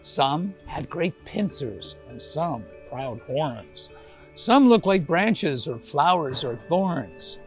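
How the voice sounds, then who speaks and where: A man in his sixties reading a rhyming children's picture book aloud in a deliberate, expressive way. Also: on the high side, faint music behind. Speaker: storytime reader. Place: outdoors in a green garden. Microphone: lapel mic.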